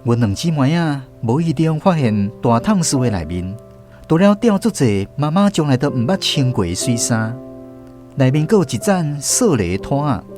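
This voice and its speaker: A man with a Taiwanese accent narrating a scripted children's radio story in an expressive storytelling manner. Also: mid-range voice, moderate pace, music in the background.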